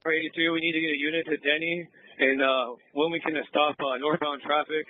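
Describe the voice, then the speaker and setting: A man's voice: fairly high pitch, level tone, fairly quick, no echo. A man, a police officer, making terse, urgent calls over a radio. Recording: band-limited tinny police radio.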